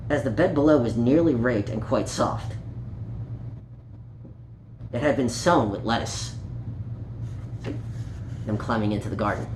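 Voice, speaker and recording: Someone reading a kids story, super animated n playful, echoey room w a bit of noise. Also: medium pitch. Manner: playful, showing the pictures, stumbles over words while reading.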